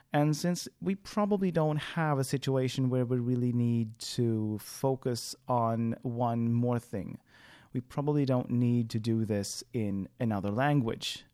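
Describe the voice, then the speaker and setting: A man's voice: medium pitch, a little mellow. Swedish man hosting a podcast. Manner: thinking aloud, frequent fillers and restarts. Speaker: podcast host. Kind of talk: solo podcast monologue.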